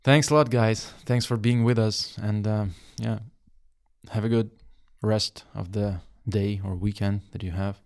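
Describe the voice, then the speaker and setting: Lowish-voiced man from Russia, rambling. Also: steady tone, unhurried, darkish tone. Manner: rambling, explanatory, many fillers. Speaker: podcast host. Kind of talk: casual interview chat.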